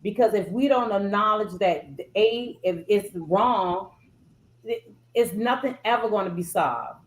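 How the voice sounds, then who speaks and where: A woman's voice: mid-range voice, a little slow. A woman on a discussion panel speaking earnestly and insistently. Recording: echoey room.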